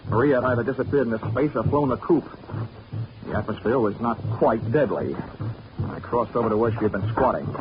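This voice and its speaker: A man's voice: medium pitch, fairly quick, noisy background, close to an everyday voice. An American man acting a wisecracking hard-boiled detective in 1940s radio drama, wry, clipped and sardonic. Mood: wry narration. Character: wisecracking hard-boiled male detective narrating his case. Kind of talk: 1940s radio acting, first-person noir narration. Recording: muffled old radio recording with music.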